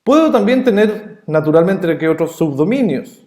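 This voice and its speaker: A man's voice: high-pitched, very animated, moderate pace, a little mellow. A man from Chile with a Chilean accent, explaining networking as an instructor in a recorded lesson, repeating key terms and checking in with "¿bien?". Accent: Chilean Spanish. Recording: roomy echo.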